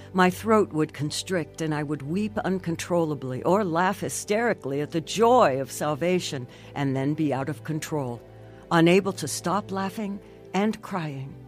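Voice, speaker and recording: An actress playing an earnest, devout woman who tells her own emotional life story in a radio drama. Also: low-pitched. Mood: tender, reflective. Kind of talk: first-person narration in a radio drama.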